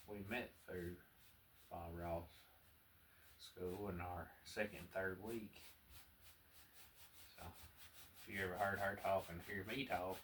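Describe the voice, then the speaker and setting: A man with a strong Southern US accent, chatting in a folksy, unhurried way as he teaches painting, murmuring asides to himself. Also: deep voice. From American South. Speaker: painting instructor. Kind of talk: relaxed painting tutorial with casual chat. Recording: distant mic with clear background noise.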